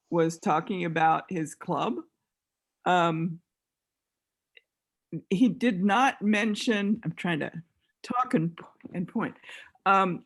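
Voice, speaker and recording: Woman on a video call asking a question, slow and deliberate. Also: lowish voice. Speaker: conference attendee.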